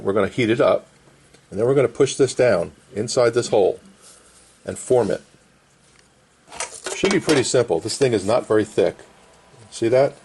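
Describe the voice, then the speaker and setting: A man's voice: lowish voice, warm tone, a little hiss or hum. A middle-aged man casually explaining a hands-on repair at an indoor workbench in short, plain phrases. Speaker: vintage radio restorer and presenter.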